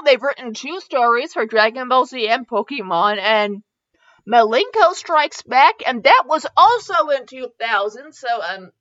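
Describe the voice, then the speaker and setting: A woman hosting a podcast with casual, humorous review chat, rambling and halting as she goes, often giggly. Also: sits high, a bit bright, dull audio. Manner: giggly, frequently breaking into laughter; rambling, halting self-interrupted delivery. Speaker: podcast host.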